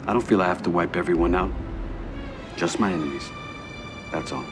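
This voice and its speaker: An actor playing a cold, ruthless middle-aged mafia boss in a game cutscene, quiet and terse with controlled menace. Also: male voice, low voice, fairly quick, over music. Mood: quiet resolve. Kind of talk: video game cutscene acting.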